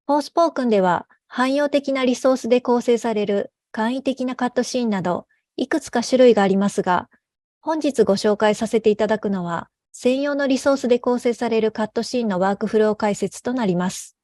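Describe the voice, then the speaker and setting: Woman reading a prepared talk, slightly high voice, dry close sound. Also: a little fast, darkish tone, no room sound. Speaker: lead cinematic artist, presenter. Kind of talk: scripted conference presentation.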